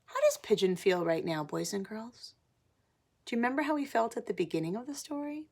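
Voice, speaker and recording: A woman teacher reading a picture book to children, animated, pausing for simple explanations and questions. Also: fairly high pitch, gravelly. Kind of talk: picture-book read-aloud with asides to children.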